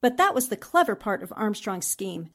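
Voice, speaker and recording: A woman's voice: medium pitch, big pitch swings, moderate pace. A woman playing a plucky, determined newspaper reporter in a 1940s-style radio drama, with an earnest, dramatic delivery. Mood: explaining.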